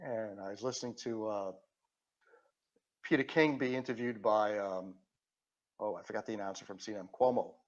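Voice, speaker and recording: A man with a Queens accent walks through a technical explanation step by step, pausing on fillers and restarting his phrases. His audio has a muffled, low-fidelity edge. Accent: Queens New York. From Queens, New York. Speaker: guest. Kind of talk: casual podcast chat.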